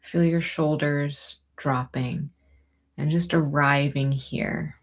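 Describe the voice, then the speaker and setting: A woman teaching yoga and breathing, giving gentle, soothing, unhurried encouragement as she guides each movement. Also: sits low, steady tone.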